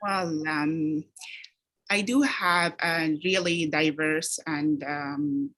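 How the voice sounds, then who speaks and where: Low-voiced woman, hesitant interview answers. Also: fairly bright tone. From Sudan. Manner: measured, hesitant, many ums and uhs. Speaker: physician interviewee. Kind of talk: practice job interview. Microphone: video call.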